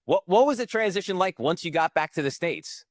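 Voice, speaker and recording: A man's voice: high-pitched, animated, a little fast, no room sound, clean studio sound. A man hosting a conversational interview, speaking in a casual, colloquial way. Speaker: podcast host interviewing a guest.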